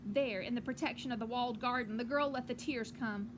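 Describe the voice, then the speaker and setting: A woman teacher reading a picture book aloud to children outdoors on a porch, with gentle, encouraging asides. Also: fairly high pitch, brisk. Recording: clearly noisy outdoor ambience.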